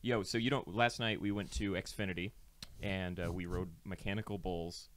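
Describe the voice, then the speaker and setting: A man's voice: deep voice, fairly even intonation, normal speed, slight background noise. A man in his thirties co-hosting a casual comedy podcast, joking and swearing into a handheld mic. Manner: joking, profane. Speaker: podcast co-host. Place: small podcast set, indoors. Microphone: handheld microphone.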